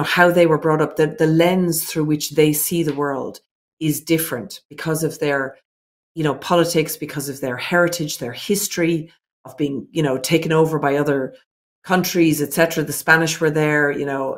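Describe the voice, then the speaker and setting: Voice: mid-range voice, fairly even intonation, moderate pace. A business owner answering interview questions earnestly and reflectively, working through ideas point by point.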